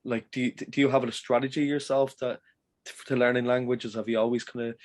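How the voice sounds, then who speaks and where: A man's voice: mid-range voice, flat delivery, brisk. A man with an Irish accent hosting a podcast interview, upbeat and encouraging, asking long, rambling questions. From Ireland. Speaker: podcast host. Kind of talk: casual interview.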